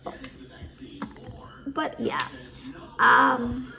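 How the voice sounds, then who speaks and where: A woman's voice: on the high side, level tone, drawn-out words, vocal fry, pushed and bright. A woman in her early twenties giving casual, rambling advice as a live streamer, often repeating herself and losing her thread. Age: early 20s.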